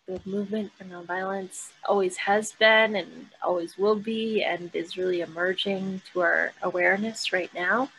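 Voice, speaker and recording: A woman's voice: medium pitch, a bit bright, rough recording. Reflective woman with faint background hiss. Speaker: author and activist. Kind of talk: online panel remarks. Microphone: video call.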